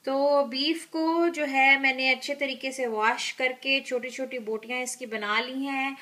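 Slow, high-voiced cooking host. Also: female voice, steady tone, pushed and bright. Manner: unhurried, with frequent filler pauses. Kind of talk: step-by-step cooking instructions. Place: home kitchen.

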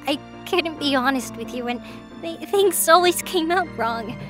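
Casting: actress as sincere anime schoolgirl manager, high girlish voice, easily flustered, clear music behind. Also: lively intonation, measured pace. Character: earnest, easily flustered teenage girl team manager. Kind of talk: manga dub character acting.

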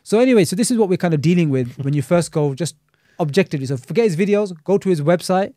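Fast-talking man, mellow voice. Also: high-pitched, expressive, no echo, studio quality. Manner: fast, critical, peppered with Arabic religious terms. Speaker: Islamic scholar and teacher. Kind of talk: casual podcast discussion.

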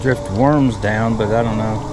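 A man's voice: fairly low pitch, fairly even intonation, unhurried. A middle-aged man hosting a fishing vlog with casual, folksy, rambling running commentary. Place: outdoors on a small fishing boat on open water. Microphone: action camera worn on chest or held at arm's length. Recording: outdoor boat noise, wind.

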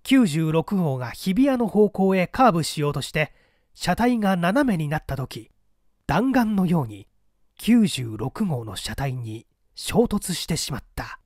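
A man narrates a mystery tale as a practised reader would. His voice sits a little high and moves through a wide, animated range. The sound is dry, and the delivery is expressive and dramatic. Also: unhurried, no echo. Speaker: audiobook reader, small-theatre actor.

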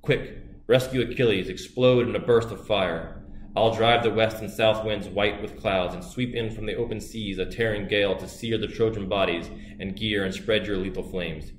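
A man's voice: lowish voice, fairly even intonation, normal speed, lightly acted. A man voicing a fierce queen goddess who urgently orders her son into battle. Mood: commanding. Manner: urgent, commanding. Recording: roomy with faint hiss.

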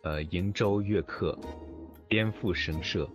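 A man hosting casually, with a hesitant, halting way of speaking. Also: low voice. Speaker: interview host. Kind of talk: casual hosting, reading out credits and questions. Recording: dull lo-fi voice chat with music.

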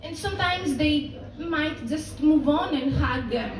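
A woman's voice: high voice, a little slow, reverberant, background noise. A young Greek woman with a strong Greek accent doing a live stand-up set, self-mocking and laughing at her own lines. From Greece. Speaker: stand-up comedian. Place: comedy club stage with audience. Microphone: handheld stage mic. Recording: raw live room recording from far off. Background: loud audience laughter.

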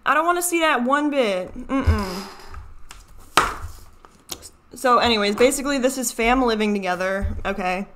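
Bright-voiced young Chicago woman, rambling. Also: fairly high pitch, animated, measured pace, pauses often, slight background noise. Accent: Chicago American. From Chicago, United States. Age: young adult. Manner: rambling, self-interrupting. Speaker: video host. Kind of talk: casual reaction commentary. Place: small furnished room at home. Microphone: desk microphone, seen at frame edge.

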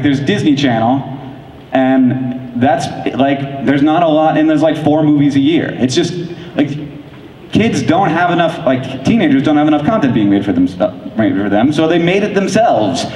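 A man giving a keynote talk on stage in a large convention hall, fast and enthusiastic with lots of "like"s, speaking into a handheld mic. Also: a little high, a little mellow. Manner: fast, enthusiastic, filler-heavy with frequent likes. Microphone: handheld microphone. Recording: echoey hall from far off.

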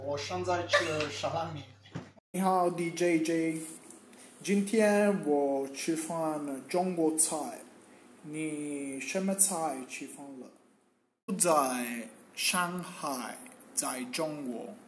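A man's voice: on the high side, slow pace, a little hiss or hum, poor audio. A young man from Italy introducing himself in simple learner phrases, filmed on a phone held at arm's length. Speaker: language student. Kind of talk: casual self-introduction in learner Chinese.

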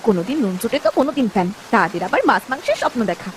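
A woman playing a nagging, sharp-tongued poor village wife and mother in a cartoon story, scolding and sarcastic. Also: a little high, very animated, rapid-fire, a little hiss or hum. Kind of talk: dramatic cartoon story dub.

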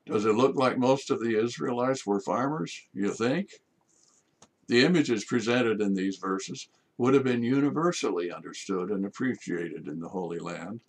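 An older man, a priest, delivering a measured homily from his study, with rhetorical questions. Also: on the low side. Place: home study with bookshelves.

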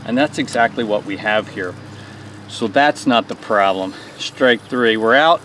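A man's voice: on the low side, a little slow, a bit bright, slight background noise. A man in his forties hosting a hands-on small engine repair tutorial outdoors on a lawn, explaining each step in a plain, folksy way.

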